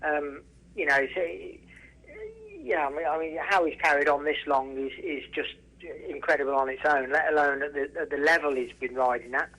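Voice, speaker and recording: Halting man on a phone line, higher pitched. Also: steady tone, sharp tone. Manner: halting, restarts often, emphatic repetition. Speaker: racehorse trainer and former jockey, interview guest. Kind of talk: casual phone interview. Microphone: telephone. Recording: telephone line, radio-style call.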